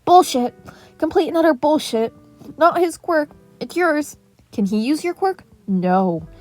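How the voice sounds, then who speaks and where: A woman's voice: high voice, a little mellow, voice pushed up. A woman voicing a blunt, exasperated but caring teenage boy counselor in an emphatic, lecturing, sweary manner for a story. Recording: music playing under the voice.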